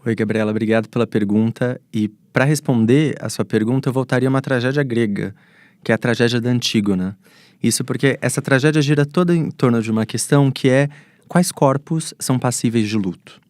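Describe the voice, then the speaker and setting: A man's voice: medium pitch, brisk, darkish tone, no echo, studio quality. A man with a Brazilian accent speaking as a guest professor, explaining in panel-talk style.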